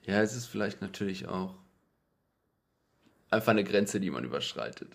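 A young man hosting a podcast, chatting in a relaxed way and telling anecdotes. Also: sits low, moderate pace, gravelly. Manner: relaxed, tells anecdotes with quoted voices. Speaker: podcast host. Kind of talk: casual podcast conversation.

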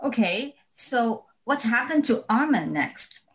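A woman's voice: on the high side, sing-song, slow, fairly bright tone, poor audio. Woman asking short prompting questions. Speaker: interviewer.

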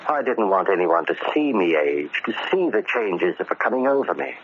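An actor playing a bitter, stubborn middle-aged convict with an American accent and a grim, deliberate delivery, in old-time radio drama style. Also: male voice, low-pitched, big pitch swings. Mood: brooding, determined. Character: bitter, resolute 44-year-old convict bent on settling a debt. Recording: dull, noisy old radio recording.

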